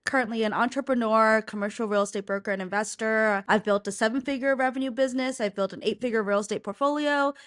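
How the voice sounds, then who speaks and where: A woman's voice: on the high side, steady tone, fast, few pauses, a bit bright, no room sound, studio quality. A middle-aged woman from the United States, a real estate broker who is the guest on an interview show, talking candidly in a chatty way with frequent fillers. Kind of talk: casual interview talk.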